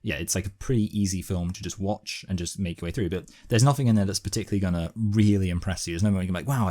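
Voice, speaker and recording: A man co-hosting a casual, unscripted film review talk, giving measured, hedged opinions. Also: lowish voice, dark tone. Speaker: podcast co-host reviewing films.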